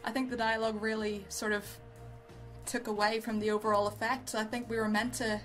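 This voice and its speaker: A woman's voice: on the high side, level tone, fairly quick, fairly bright tone, soft background music. A woman co-hosting a casual review show, talking in an informal, rambling, giggly way. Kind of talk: casual chat, reviewing amateur voice acting.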